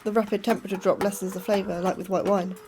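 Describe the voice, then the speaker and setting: A woman's voice: mid-range voice, level tone, fast, background noise, natural voice. An actress playing an anxious, sceptical woman astrobiologist in a sci-fi horror audio drama, hesitant and doubtful. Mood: sceptical, uneasy.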